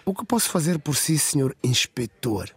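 Man voicing a higher-pitched, resigned woman politician. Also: sing-song, moderate pace, slightly rough, dry recording, exaggerated voice. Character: weary but composed female member of parliament. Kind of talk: radio drama storytelling.

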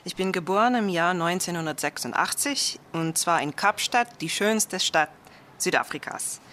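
Bright-voiced woman teacher. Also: on the low side, normal speed, a little hiss or hum. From South Africa. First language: Afrikaans. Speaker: German teacher. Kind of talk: prepared personal self-introduction.

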